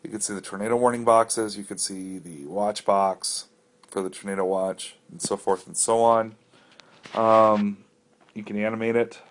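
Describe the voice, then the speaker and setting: Casting: American male reviewer with a fairly low voice, walking through software off the cuff, plain-spoken and explanatory. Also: steady tone, normal speed. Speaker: app reviewer giving a walkthrough. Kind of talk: casual unscripted tutorial narration.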